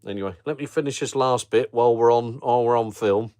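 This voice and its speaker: A middle-aged man with a Norfolk accent, hosting a relaxed live chat for viewers of his travel channel, rambling with ums and chuckles. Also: mid-range voice, fast talker, no room sound, studio quality. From Norfolk, England. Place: home at night, streaming on a phone. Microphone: phone held in portrait mode.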